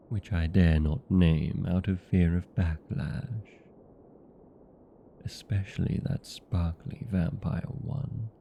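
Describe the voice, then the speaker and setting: A man playing a vain, playful, chatty old vampire in a comedic audio roleplay, with a gravelly, husky voice and theatrical, courteous manner. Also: low voice, dark tone, a little hiss or hum, exaggerated voice.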